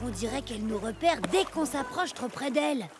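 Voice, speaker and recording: A woman's voice: sits high, animated, a little fast, music in the background. A woman playing a confident, helpful young boy seaplane pilot in a French cartoon. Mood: focused. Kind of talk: French cartoon dub acting.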